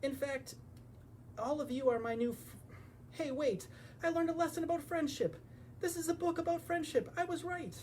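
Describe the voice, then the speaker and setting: A man's voice: very high-pitched, moderate pace, darkish tone, a little hiss or hum, voice pushed up. A man voicing a self-important, stubborn hedgehog teacher making earnest declarations in a picture-book read-aloud.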